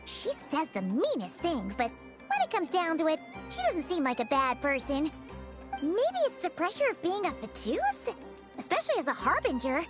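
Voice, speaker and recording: Very high-pitched, excitable little fairy. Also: female voice, normal speed, music in the background. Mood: pondering. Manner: perky, chatty, refers to self in third person. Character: tiny childlike chatterbox fairy companion, excitable and cute. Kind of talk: animated video game voice acting.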